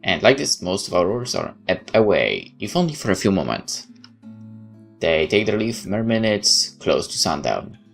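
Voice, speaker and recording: A man's voice: sits low, huge pitch swings, moderate pace, vocal fry. A man doing a let's play, reading a game's story text aloud with casual asides. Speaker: game streamer reading aloud. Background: game music.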